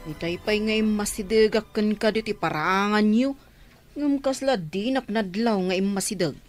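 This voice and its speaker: A woman's voice: medium pitch, moderate pace, soft background music, natural voice. An actress playing a teasing, skeptical neighbour woman in a radio drama, exclaiming in an Ilocano accent. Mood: teasing, curious. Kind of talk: Filipino radio drama acting.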